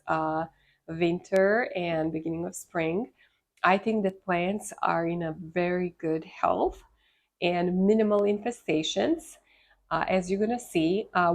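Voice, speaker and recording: A woman with a Serbian accent hosts a casual plant vlog. Her voice sits fairly low and has a strongly creaky, gravelly edge. She sounds congested from a sinus infection as she chats. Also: darkish tone. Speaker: host of a succulent gardening channel.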